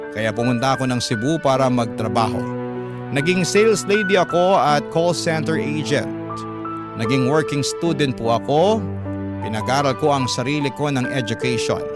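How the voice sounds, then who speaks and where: Filipino man narrating over loud music. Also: mid-range voice, moderate pace. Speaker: radio drama host and narrator. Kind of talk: first-person dramatic storytelling, reading a letter. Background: loud background music.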